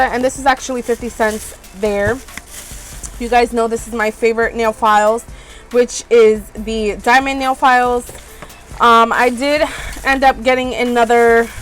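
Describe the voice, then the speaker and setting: A woman's voice: fairly high pitch, a bit bright. Stuffy-nosed chatty woman vlogger. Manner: chatty, rambling, many ums and "you guys". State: stuffed up from allergies. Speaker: beauty vlogger. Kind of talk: casual haul chat. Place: small RV room.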